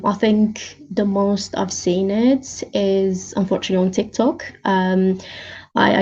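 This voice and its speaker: A woman giving thoughtful, conversational interview answers. Her voice is gravelly and dark, with a fairly even melody. She feels her way through her points a little hesitantly, on a slightly dull recording. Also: mid-range voice. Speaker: interview guest, open-source intelligence researcher.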